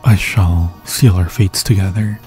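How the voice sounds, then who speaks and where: Obsessive undead lover male actor, low and unhurried, solemn archaic delivery, tender menace, for fantasy roleplay audio. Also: fairly even intonation, dark tone, soft background music. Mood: tender, sinister devotion. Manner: theatrical, slow, archaic solemn phrasing. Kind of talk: ASMR fantasy roleplay acting.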